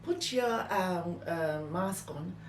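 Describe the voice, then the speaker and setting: A woman's voice: on the low side, expressive, slow pace. An older woman telling personal memories in an interview, emphatically, often acting out what was said. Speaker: interviewee, former nurse. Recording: echoey room, distant mic, faint noise.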